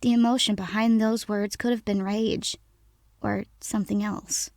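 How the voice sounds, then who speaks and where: A woman's voice: medium pitch, level tone, moderate pace, darkish tone, dry recording, studio quality. A woman narrating a scary story in the first person, reading from a script.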